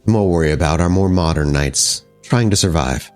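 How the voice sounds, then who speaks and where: A man voicing a courteous, guarded female vampire club owner in a tabletop game, with measured, formal phrasing. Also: low-pitched, a little mellow, soft background music, pitched below the performer's own voice, close to an everyday voice. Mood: curious, guarded.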